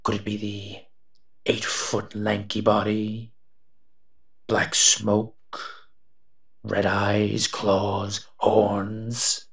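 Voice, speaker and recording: Monster companion played by a man: lowish, forceful voice, sardonic and menacing yet protective, for audio roleplay. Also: completely flat delivery, drawn-out words, vocal fry, pauses often, exaggerated voice. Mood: sarcastic, teasing. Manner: teasing, sardonic, muttering asides. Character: eight-foot male smoke monster, sardonic, menacing, protective. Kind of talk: scripted roleplay audio drama.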